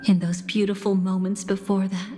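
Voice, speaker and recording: A woman playing a soft-spoken game narrator who recalls bittersweet memories in a measured, wistful way. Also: medium pitch, level tone, natural voice. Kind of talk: slow storytelling narration in a video game. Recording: long reverb, music behind. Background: music.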